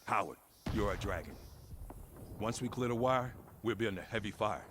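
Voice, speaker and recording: An actor playing a steely, authoritative American army sergeant who gives clipped orders in a war game. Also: male voice, on the low side, expressive, moderate pace, natural voice. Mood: firm, stern. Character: commanding American army sergeant, steely and fatherly, leading men. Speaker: squad leader. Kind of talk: cinematic war game acting. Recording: loud battlefield noise behind the voice.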